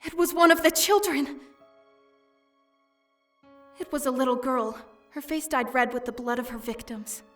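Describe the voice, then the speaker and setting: A woman's voice: high-pitched, measured pace, long pauses, a bit bright, distant mic, soft background music. A woman playing a terrified female murder victim in a horror game dub, recounting the horror with anguished, theatrical intensity. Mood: horrified, dread.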